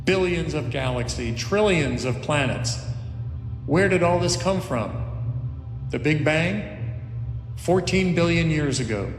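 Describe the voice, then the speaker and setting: A man's voice: fairly high pitch, expressive, measured pace, long pauses, reverberant, long reverb tail, soft background music. A man playing a planetarium show narrator, grand and full of wonder, in a film script table read. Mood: awed, grand. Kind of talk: documentary-style scripted narration in a film drama.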